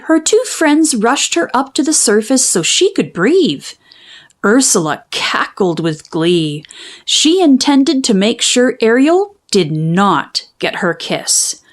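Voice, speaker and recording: A woman reading a children's story aloud in expressive, dramatic, carefully phrased narration. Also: fairly high pitch, takes it slowly. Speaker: storybook reader.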